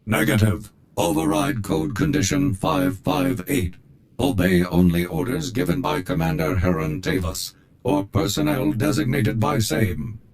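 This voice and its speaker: An actor voicing a bombastic, zealous military war droid in a video game, with pompous, formal delivery. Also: male voice, mid-range voice, very animated, measured pace, vocal fry, darkish tone, clean tone, heavily acted. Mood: obedient, clipped.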